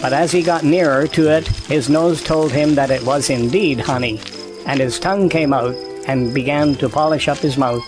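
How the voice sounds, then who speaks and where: A man reading a children's storybook as the narrator of an audio drama, in a gentle, even way. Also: a little high, moderate pace, rough recording. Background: music.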